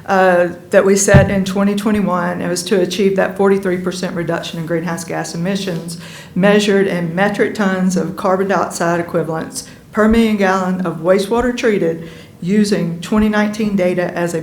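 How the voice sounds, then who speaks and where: A woman's voice: lowish voice, steady tone, moderate pace, darkish tone, roomy sound, slight background noise. A woman on the utility staff presenting slides to the board, speaking casually with many fillers. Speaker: utility staff filling in for a manager.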